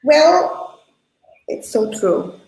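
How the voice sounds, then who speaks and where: A woman's voice: high voice, big pitch swings, extremely slow, gravelly, darkish tone. A woman co-hosting a live online show, chatting casually in short interjections. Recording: roomy, echoing live-stream sound.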